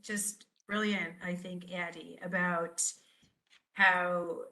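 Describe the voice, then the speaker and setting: A woman's voice: on the low side, little pitch movement, takes it slowly, bright, forceful tone, low-quality recording. A woman speaking on a panel over a video call, reflective and conversational. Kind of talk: panel discussion remarks.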